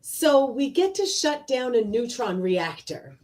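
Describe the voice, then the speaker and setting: Woman doing a sarcastic exasperated crewmate, kinda high, very up and down, radio play. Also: sing-song, unhurried, darkish tone. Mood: wry disbelief. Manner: wry, sarcastic. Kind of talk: live radio play read.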